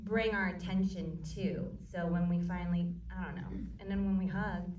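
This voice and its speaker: A woman, an actor at a screening Q&A, speaking in a playful, teasing and animated way in a cinema auditorium. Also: on the low side, normal speed. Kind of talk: unscripted Q&A answers. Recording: echoey room, distant mic, faint noise.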